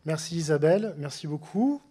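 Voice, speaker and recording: A man moderating a conference session, briskly keeping time and introducing the next speaker. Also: fairly high pitch, lively intonation, dark tone, a little hiss or hum. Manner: brisk, timekeeping remarks.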